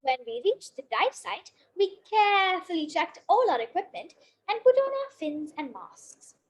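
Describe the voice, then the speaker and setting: A woman's voice: extremely high pitch, big pitch swings, measured pace. A female reader reading a children's picture book aloud in a careful way, now and then tripping on a word. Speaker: story reader.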